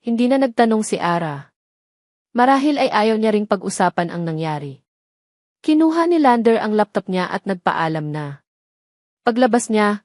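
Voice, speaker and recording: A woman's voice: medium pitch, a little mellow, no room sound, studio quality. A woman reading a scripted story as the narrator of an audio drama.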